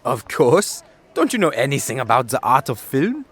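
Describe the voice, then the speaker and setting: A man's voice: medium pitch, sing-song, normal speed, fairly bright tone, slightly rough. A man playing a cheeky, theatrical young German showman in an audio drama, with a German accent. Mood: indignant, pompous. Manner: theatrical, self-important, playful.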